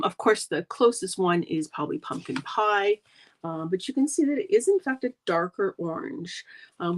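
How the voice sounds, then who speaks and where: A woman's voice: mid-range voice, a bit bright, a little hiss or hum, poor audio. A middle-aged woman, nasal from a cold, hosting a live card-making demo at her craft table in a friendly, rambling way. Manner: friendly, rambling, gushes over paper. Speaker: stamping demonstrator hosting a live craft video.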